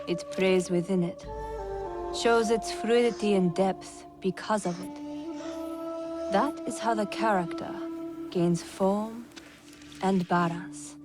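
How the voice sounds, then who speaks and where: An actress playing a composed noblewoman of feudal Japan who teaches in a formal, measured way in a video game cutscene. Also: medium pitch, over music, close to an everyday voice. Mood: solemn, instructive.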